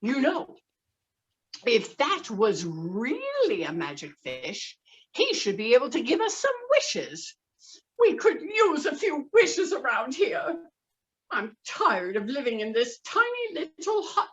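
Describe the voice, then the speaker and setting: A woman voicing a fisherman's wife who scolds him loudly and demands wishes. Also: high-pitched, huge pitch swings, slow, far from the mic, lo-fi sound, voice pushed up, exaggerated voice. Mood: angry. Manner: scolding, loud.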